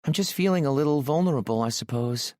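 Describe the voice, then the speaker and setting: A man's voice: mid-range voice, expressive, fast, darkish tone, no echo, studio quality, voice pushed up, natural voice. A man voicing a shy, playful young woman who teases lightly but sounds a little nervous.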